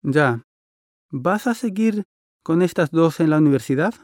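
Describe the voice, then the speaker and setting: A man's voice: a little high, lively intonation, measured pace, darkish tone, no echo, clean studio sound. A man playing a friendly interviewer in a scripted listening-test dialogue, asking polite, curious questions. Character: friendly adult male interviewer.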